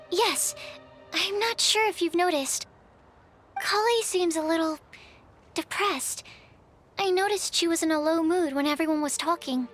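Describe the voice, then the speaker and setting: A woman voicing a gentle, shy and caring young alchemist in a video game, with an earnest, hesitant manner. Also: very high-pitched. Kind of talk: scripted video game voice acting.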